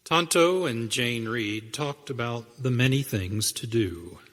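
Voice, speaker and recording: A man delivers dramatic scripted narration for a western radio show. He speaks slowly and with measure at a medium pitch. Music plays clearly behind him. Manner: measured, storytelling.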